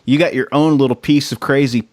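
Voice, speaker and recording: A man's voice: medium pitch, fairly even intonation, brisk, a little mellow, dry recording, studio quality. A man co-hosting a podcast, chatting casually in an emphatic, slangy, informal style. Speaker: podcast co-host.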